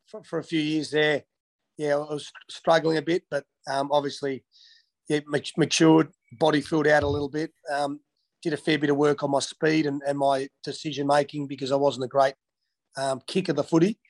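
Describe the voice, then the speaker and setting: A man's voice: fairly high pitch, level tone, moderate pace, rough recording. An Australian man from Western Australia in his thirties, answering interview questions casually with many ums and restarts. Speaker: interviewee, former footballer.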